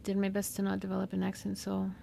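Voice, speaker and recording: A woman with a Southern California accent whose first language is Spanish, talking unscripted and reflectively in a slow, pausing monologue as a podcast host. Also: medium pitch, monotone, gravelly, dark tone, a little hiss or hum. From Mexican-American. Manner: slow, reflective, with pauses and fillers.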